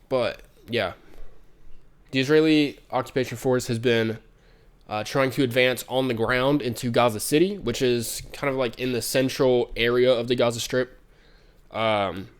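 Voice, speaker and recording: Brief: stuffed-up nasal guy, mid pitch, smooth clear tone, loose stream news rant. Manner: rambling, many ums and restarts. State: congested, nasal from a cold. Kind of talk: casual news commentary stream.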